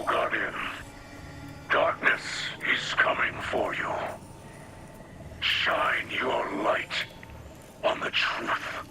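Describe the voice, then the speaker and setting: A man playing a solemn, wise alien mystic leader in a video game, speaking in a breathy, rasping whisper with measured, foreboding gravity. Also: medium pitch. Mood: solemn warning. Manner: slow, measured, portentous delivery. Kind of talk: scripted video game dialogue.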